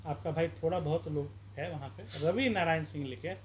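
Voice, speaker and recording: Man, combative, rhetorical questions, campaign pitch, faint outdoor ambience. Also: normal speed, slight background noise. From Bihar, India. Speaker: independent election candidate. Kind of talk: street interview.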